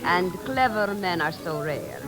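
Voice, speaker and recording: Worldly, teasing countess spy, music behind. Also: female voice, fairly low pitch, animated, unhurried, poor audio. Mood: playful, teasing. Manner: arch, elegant, leisurely flirtatious banter. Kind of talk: 1940s-50s American radio drama acting. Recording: vintage radio recording with music behind.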